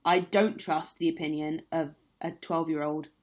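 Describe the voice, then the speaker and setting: A young woman, a stage actress, talks casually to her viewers in a live-stream Q&A. Her voice sits a little low, and she speaks candidly with plenty of ums and likes. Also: fairly even intonation, normal speed. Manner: chatty, candid, lots of fillers.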